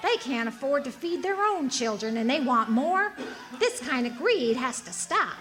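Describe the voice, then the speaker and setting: A woman's voice: high-pitched, big pitch swings, moderate pace. A woman playing a prim, indignant older busybody in a radio drama, haughty and disapproving. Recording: noisy live stage recording.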